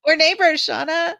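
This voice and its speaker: A woman's voice: high-pitched, vocal fry, pushed and bright, no echo, rough recording. A woman with a slightly stuffed-up nose, chatting casually with viewers on a livestream panel. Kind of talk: casual chat with live viewers.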